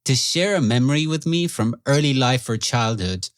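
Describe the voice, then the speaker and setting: A man's voice: medium pitch, animated, normal speed, no echo, studio quality. A man from Italy and Ireland hosting an interview podcast, chatty and playful, fond of puns. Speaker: podcast host.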